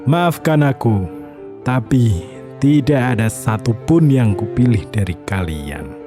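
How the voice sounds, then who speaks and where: A performer voicing a calm, decisive young prince in a children's animated folktale. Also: mid-range voice, slow pace, music in the background. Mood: apologetic.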